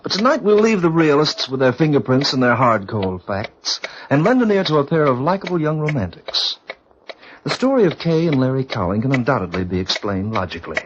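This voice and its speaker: A man's voice: medium pitch, very animated, brisk, lightly acted. A man playing a wry, confiding storyteller, narrating a vintage radio mystery in a suave, theatrical manner. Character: wry, confiding male storyteller of ghost tales. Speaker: host and narrator. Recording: muffled, noisy old radio recording.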